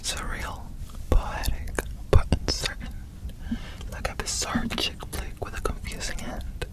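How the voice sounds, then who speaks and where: A woman doing ASMR, whispering and softly singing lyrics in a hushed, breathy, gentle way, close to the microphone. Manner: slow, gentle, hushed delivery. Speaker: ASMR performer.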